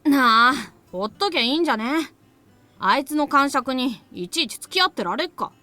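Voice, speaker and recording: A woman voicing an irritable, rough-talking young boy in a Japanese visual novel, blunt and grumbling. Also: sits high, expressive, racing, sharp tone, soft background music.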